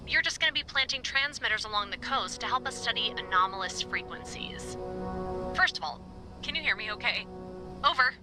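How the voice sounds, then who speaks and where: A woman's voice: fairly high pitch, animated, brisk, music in the background, natural voice. A woman acting a friendly, chatty environmental researcher giving a briefing by walkie-talkie in a video game. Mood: friendly, upbeat. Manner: chatty, rambling, upbeat. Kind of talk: naturalistic video game dialogue.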